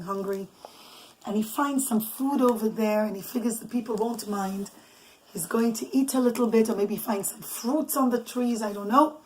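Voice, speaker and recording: A woman with a fairly high voice tells a bedtime story as a mother would to her children. She is animated and conversational, improvising as she goes and dropping in Hebrew and Yiddish religious words. There is some background noise on the homemade recording. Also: rough recording. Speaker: mother telling bedtime stories to children and listeners. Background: noise, clicks, small children nearby.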